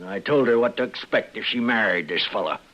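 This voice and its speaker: An American actor playing a stubborn, grudging old rancher in a 1950s radio western, with folksy, cantankerous rural speech. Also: male voice, fairly low pitch, expressive, a little fast, a bit bright. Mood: grumbling, defiant. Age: older. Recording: dull vintage radio recording.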